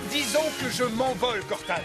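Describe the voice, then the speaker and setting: Un comédien qui double un méchant provocateur et menaçant dans un dessin animé des années 90. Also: male voice, very high-pitched, fairly quick, loud music behind the voice. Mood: menacing. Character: defiant fleeing male cartoon villain. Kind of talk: dubbed 1990s TV cartoon acting.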